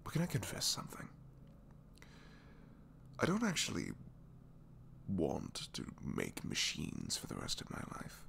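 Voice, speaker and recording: A man playing a captured supervillain in an intimate ASMR roleplay, a gentle, bookish dreamer who speaks softly and hesitantly, often sinking toward a whisper. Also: low voice, huge pitch swings, a little slow, creaky, pauses often, slight background noise, full character voice. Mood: shy, dreamy. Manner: hesitant, soft-spoken, rambling, often dropping to whispers.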